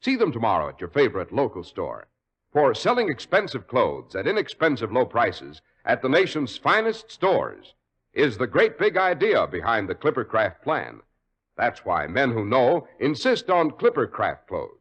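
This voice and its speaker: Lively old-time radio announcer man. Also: medium pitch, moderate pace. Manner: smooth salesman patter.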